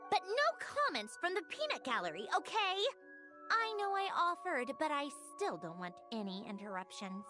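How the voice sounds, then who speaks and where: A woman's voice: high voice, big pitch swings, moderate pace, over music. A woman playing a prickly, guarded young female machine being in a video game, haughty and precise in her wording. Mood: huffy, embarrassed. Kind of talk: video game character acting.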